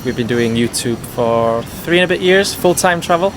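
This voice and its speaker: A man answering street interview questions in a relaxed way with brief replies. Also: mid-range voice, normal speed. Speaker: traveling video creator. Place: city street outdoors. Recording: city street noise behind.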